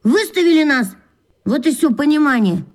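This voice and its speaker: A woman's voice: sits high, lively intonation. A woman voicing an imaginative young schoolboy in a children's radio play, speaking eagerly and spinning theories. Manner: eager, speculative, quick.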